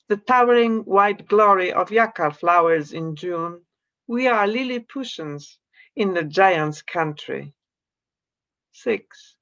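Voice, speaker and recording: A woman from Poland with a Polish accent, reading her poems slowly and introducing them in a measured way on a video call from her home. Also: deep voice, a bit bright, lo-fi sound. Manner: slow, measured reading of verse. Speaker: poet. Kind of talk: poetry reading with spoken introductions. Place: room at home.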